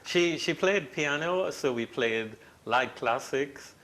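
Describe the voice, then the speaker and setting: An older man, answering as a guest in an interview and telling his story in a measured, reflective way. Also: medium pitch, animated, fairly bright tone. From Trinidad.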